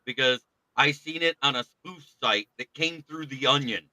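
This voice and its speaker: A man's voice: medium pitch, normal speed, sharp tone, no echo, poor audio. A man hosting a casual sports talk show, chatty and laughing often.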